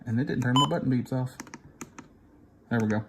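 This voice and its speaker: A man's voice: medium pitch, brisk, vocal fry. A man gives an informal, unscripted tutorial, thinking aloud as he works through each step on a device. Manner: unscripted, thinking aloud while pressing buttons. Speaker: instructor demonstrating a device. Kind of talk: informal hands-on tutorial, talking through steps. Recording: noisy recording with device clicks and beeps.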